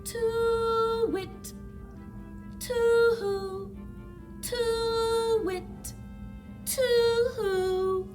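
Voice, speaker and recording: A storybook owl, acted by a woman, hoots in a very high, sing-song voice. The calls are slow and sound some way from the microphone. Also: fairly even intonation, distant mic, music in the background, exaggerated voice. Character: hooting wise owl singing to the moon.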